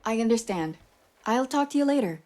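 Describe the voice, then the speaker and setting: A woman's voice: a little high, animated, brisk, dark tone, lightly acted. A woman voicing an earnest, probing journalist in a video game. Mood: concerned, gentle. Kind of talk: video game dialogue acting.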